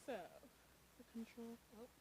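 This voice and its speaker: A woman with a soft voice, a nursing lecturer presenting at a panel, earnest and dotted with ums and uhs. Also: measured pace, slight background noise. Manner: earnest, with frequent um and uh fillers.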